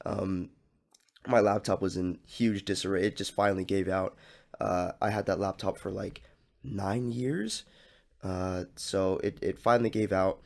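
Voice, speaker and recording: A young man hosts a laid-back solo podcast, his lowish voice scratchy and hoarse from coughing. He rambles along with fillers and restarts, and a gravelly fry runs through his speech. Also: a little mellow. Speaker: podcast host. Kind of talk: casual solo podcast talk.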